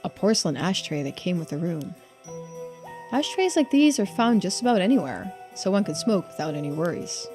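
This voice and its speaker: A woman's voice: fairly low pitch, sing-song, moderate pace, music in the background. A woman reading aloud as a cheerful, free-spirited young male traveler in a video game, theatrical and wordy. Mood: musing.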